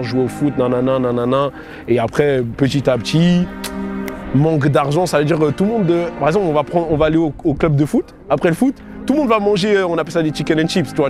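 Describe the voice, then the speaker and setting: A young man tells his own story casually, in a slangy, conversational manner full of check-in tags. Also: mid-range voice. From France (Paris area) and England (London). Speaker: storyteller recounting own life. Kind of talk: casual autobiographical storytelling to camera.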